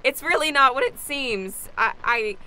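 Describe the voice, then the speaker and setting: A woman's voice: high-pitched, animated, measured pace, pushed and bright, heavily acted. A woman playing a nervous, stammering, apologetic young intruder in an improvised radio play. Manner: stammering, hesitant, flustered.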